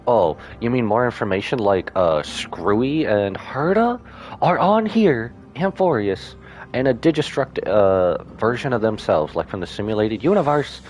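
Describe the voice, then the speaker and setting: A man streaming his reaction to a game, talking casually with excitable exclamations. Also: lowish voice, a little slow, faint music behind. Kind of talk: casual livestream reaction commentary.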